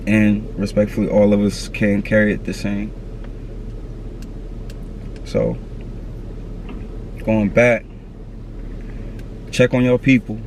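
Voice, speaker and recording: A man's voice: on the low side, a little mellow, a little hiss or hum, low-quality recording. A man in his thirties talking candidly to camera outdoors, earnest and slangy, repeating his advice. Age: 30s. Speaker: vlogger. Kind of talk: candid motivational talk to camera.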